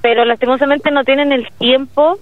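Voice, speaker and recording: A woman's voice: high-pitched, steady tone, a little fast, no echo. A woman with a Chilean accent, a musician talking about her project in an interview, hesitating often with fillers and restarts. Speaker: solo musician, interview guest. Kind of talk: casual interview over a call. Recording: dry, tinny phone-like line.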